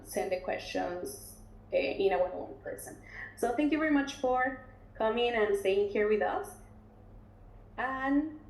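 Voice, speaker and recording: A woman with a mellow, soft-edged voice, talking through a webinar as a recruitment officer. She keeps it loose and conversational, with plenty of ums and restarts. Her computer mic picks up a roomy echo and faint background noise. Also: medium pitch. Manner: relaxed, chatty, many fillers and restarts. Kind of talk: webinar presentation and Q&A.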